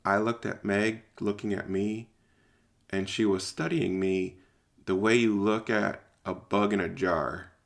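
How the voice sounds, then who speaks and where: A low-voiced man tells a story in the first person as the lead of an audio drama. His voice has plenty of gravelly creak. He sounds informal and hesitant, as though working out his thoughts as he speaks. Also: fairly even intonation, measured pace. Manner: hesitant, informal, thinking aloud.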